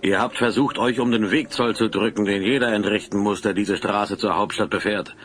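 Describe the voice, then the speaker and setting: Man acting a self-righteous rebel robber, lowish pitch, menacing, declamatory, audio drama, noisy cassette sound. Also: steady tone, normal speed, fairly bright tone, natural voice. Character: stern, self-righteous male rebel highwayman.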